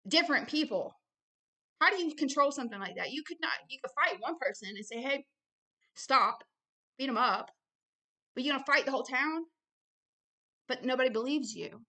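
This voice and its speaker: Emphatic, bright-voiced woman preaching. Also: high voice, lively intonation, fairly quick. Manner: emphatic, blunt, repeats phrases for stress. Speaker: ministry founder and host reading and commenting on a book.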